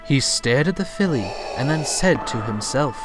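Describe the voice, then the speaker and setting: A man's voice: medium pitch, lively intonation, moderate pace. Theatrical male storybook narrator over music. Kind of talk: dramatic rhyming storybook narration.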